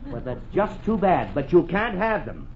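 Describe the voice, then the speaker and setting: Blustery penny-pinching dad, old radio. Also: male voice, high-pitched, big pitch swings, moderate pace. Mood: exasperated. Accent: American. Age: middle-aged. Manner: blustery, emphatic scolding. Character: exasperated, penny-pinching middle-aged suburban father. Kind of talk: 1940s radio comedy acting. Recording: muffled, noisy 1940s radio recording.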